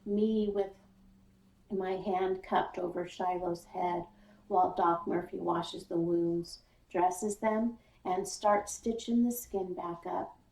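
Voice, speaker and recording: A woman reading a children's novel aloud in first person, with deliberate, expressive storytelling. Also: fairly low pitch, vocal fry. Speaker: audiobook reader, school speech paraprofessional. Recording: echoey room, slightly distant mic.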